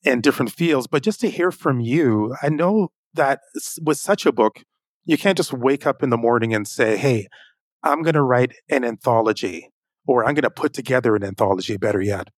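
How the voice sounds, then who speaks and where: A man's voice: medium pitch, moderate pace, no room sound. A man hosting a podcast, introducing and interviewing a guest. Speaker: podcast host.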